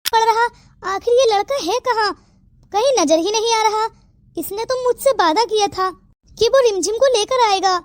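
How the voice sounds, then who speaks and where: Woman playing a very shrill-pitched indignant mother. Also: normal speed, dry recording, clean studio sound. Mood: determined. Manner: scolding, theatrical. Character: stern, indignant mother and aunty in a family melodrama. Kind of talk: Hindi moral-story cartoon dialogue.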